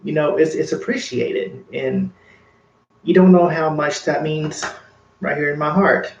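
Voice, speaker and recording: A man's voice: on the high side, unhurried, gravelly, echoey room, dull audio, slight background noise. A man casually chatting to his livestream viewers, rambling and earnest with many fillers. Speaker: makeup vlogger.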